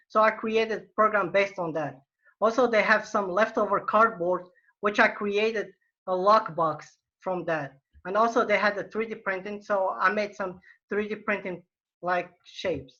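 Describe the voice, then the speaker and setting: Bright-voiced man pitching online. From Morocco. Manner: earnest, often restarts his phrases. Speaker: startup founder pitching. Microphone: video call. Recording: muffled video-call audio.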